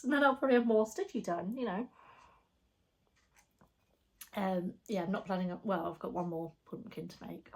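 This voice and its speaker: A woman, a bit bunged up with a cold, chatting casually and rambling in a craft vlog at home. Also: lowish voice. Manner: rambling, chatty, soft-spoken with frequent fillers. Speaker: flosstube vlogger. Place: her home.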